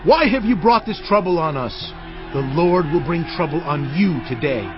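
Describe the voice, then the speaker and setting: An actor playing an earnest, authoritative Israelite war leader with forceful, declamatory delivery in a dramatized audio Bible. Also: male voice, fairly high pitch, expressive, moderate pace, dull audio, over music, natural voice. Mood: stern reproach. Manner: forceful, declamatory, urgent orders.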